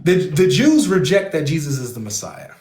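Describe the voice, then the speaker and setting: A man hosting a live online debate, leaning on one point and circling back to it with question after question. His voice sits a little high for a man and rings in an echoey room. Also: big pitch swings, normal speed, darkish tone. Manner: probing, presses a point with repeated questions. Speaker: debate host.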